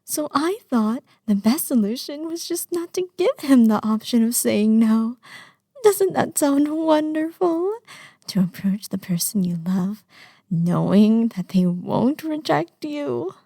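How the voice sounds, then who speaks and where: A woman playing a lovesick, manipulative young yandere under arrest in an intimate audio roleplay, with a breathy delivery that slips into whispers. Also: fairly high pitch, expressive, takes it slowly, mellow, no echo, studio quality, full character voice. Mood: dreamy, obsessive. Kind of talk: intimate audio roleplay acting addressed to the listener.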